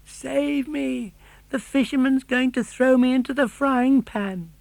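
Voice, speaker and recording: A man's voice: extremely high pitch, fairly even intonation, unhurried, creaky, a little mellow, no echo, pitched above the performer's own voice, exaggerated voice. A man voicing an indignant, plucky little wooden puppet boy for a storybook recording, protesting emphatically. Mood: pleading. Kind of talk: audiobook character voice.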